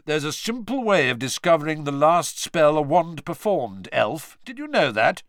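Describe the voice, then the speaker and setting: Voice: medium pitch, big pitch swings, normal speed, no echo, studio quality, voice pushed up. A narrator voicing a blustering middle-aged male wizard official who accuses and roars. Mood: accusing. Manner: accusing, roaring, then flustered.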